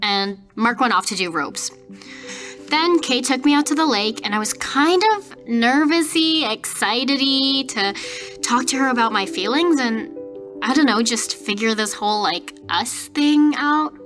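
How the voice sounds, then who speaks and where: A woman plays a young camp counselor with a high voice. She is chatty and gossipy, telling her story in breezy slang like a letter home. Light music plays under this horror podcast monologue. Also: lively intonation, unhurried. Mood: chatty, flustered.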